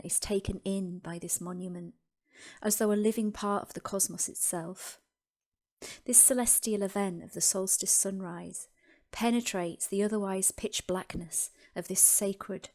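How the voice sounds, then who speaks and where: A woman narrating a scripted guided meditation as host, with deliberate, reverent phrasing and pauses. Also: medium pitch, darkish tone.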